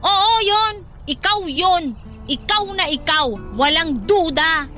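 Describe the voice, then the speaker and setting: Woman acting nagging cousin, bright and extremely high. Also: slow pace, soft background music, exaggerated voice. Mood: exasperated. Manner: scolding, animated, emphatic. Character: sensible, exasperated young woman, nagging cousin and coworker. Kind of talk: radio-style drama acting.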